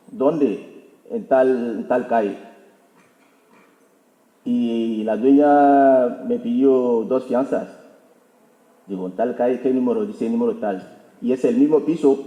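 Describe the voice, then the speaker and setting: A man telling an anecdote to an audience in a halting way, often repeating his words. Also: mid-range voice, warm tone. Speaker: intercultural mediator presenting the event. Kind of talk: informal public talk with anecdote. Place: auditorium hall. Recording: echoey hall recording.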